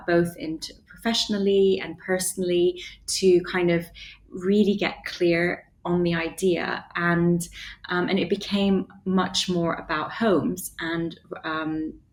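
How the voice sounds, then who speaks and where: A woman in her thirties, an interior designer, answering interview questions in a thoughtful, hesitant way with many fillers and restarts. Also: lowish voice. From Bahrain. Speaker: interior designer and studio founder, guest. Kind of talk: casual interview conversation.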